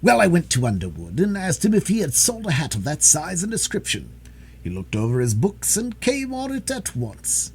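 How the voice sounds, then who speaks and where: A man voicing a pompous, boastful, excitable police detective in a storybook reading. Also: on the high side, moderate pace, slightly rough, pitched above the performer's own voice, full character voice. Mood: smug.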